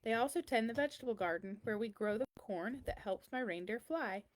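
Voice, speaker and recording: A woman's voice: a little high, expressive, fairly quick, close to an everyday voice, rough recording. A woman voicing a kindly, very old man who answers a child's letters in a storybook, reassuring and explanatory. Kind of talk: children's storybook read-aloud.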